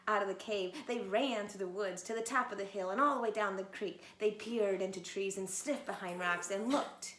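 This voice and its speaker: A woman teacher reading a storybook aloud to children at home, pausing gently to ask them questions. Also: medium pitch, reverberant. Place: home, pets nearby. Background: dogs playing.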